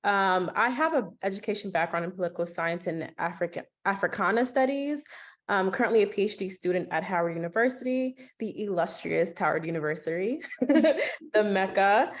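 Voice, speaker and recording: A young adult woman, a doctoral student, speaking as a panelist in an online discussion with an excited, upbeat manner. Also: medium pitch, moderate pace. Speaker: panelist, research lead.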